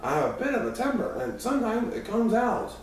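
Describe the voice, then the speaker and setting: A man's voice: very high-pitched, sing-song, a little fast. A man reading a first-person youth story aloud as scripted narration, now and then stumbling over a word. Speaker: audiobook reader. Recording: very echoey room, distant mic, faint noise.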